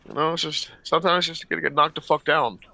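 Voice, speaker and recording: A man's voice: on the high side, fairly even intonation, quick pace, creaky, pushed and bright, no room sound. A man chatting casually in a blunt, profane, slangy way, rambling through his opinions.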